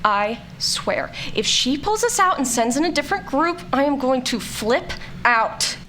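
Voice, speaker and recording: A woman playing a snarky, excitable scientist and explorer in an audio drama, sarcastic, bossy and bluntly casual. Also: sits high, measured pace, slight background noise. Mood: exasperated. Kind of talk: scripted audio drama acting.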